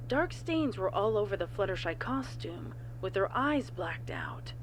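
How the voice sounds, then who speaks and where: A woman reading a scripted report in a formal, measured way as a narrator. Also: lowish voice, background noise. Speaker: narrator of a horror fan fiction reading.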